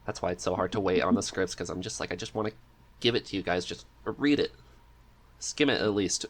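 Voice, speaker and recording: Low-voiced man chatting on a podcast. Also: brisk. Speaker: podcast guest, voice actor. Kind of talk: casual podcast conversation.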